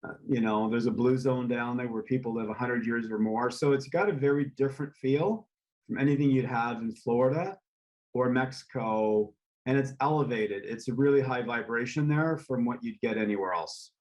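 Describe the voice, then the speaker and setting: A man, a real estate developer, speaking as a guest in a casual interview, relaxed and rambling with frequent ums and uhs. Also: mid-range voice, normal speed, lo-fi sound. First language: English.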